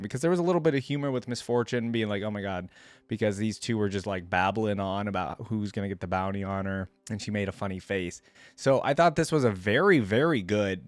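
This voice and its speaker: A man's voice: on the low side, dry recording. A young adult man, giving chatty, enthusiastic reaction commentary as a video host.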